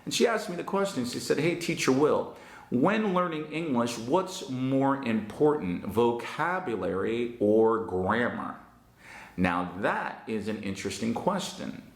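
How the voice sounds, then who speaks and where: A man with a Northern New Jersey accent, giving an online English lesson in a slow, emphatic and encouraging way. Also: mid-range voice, very animated, gravelly, echoey room. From United States. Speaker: English teacher.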